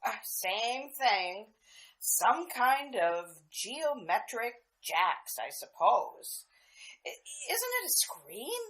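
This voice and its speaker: A woman's voice: on the high side, very animated, drawn-out words, a bit bright, full character voice. A woman playing a breezy, gossipy housewife. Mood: chatty. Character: cheerful chatty housewife friend. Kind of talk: amateur radio drama acting.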